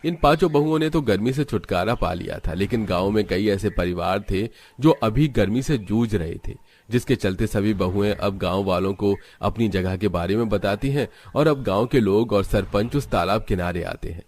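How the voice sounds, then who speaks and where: A man's voice: on the low side, moderate pace, a little mellow, a little hiss or hum. A man giving scripted narration for a cartoon village story.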